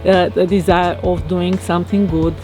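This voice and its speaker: A woman from Romania with a Romanian accent, talking reflectively to her viewers in a halting way with many fillers. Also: on the low side, level tone, a little mellow, soft background music, low-quality recording. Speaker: vlogger. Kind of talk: casual monologue to camera. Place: outdoors in her garden.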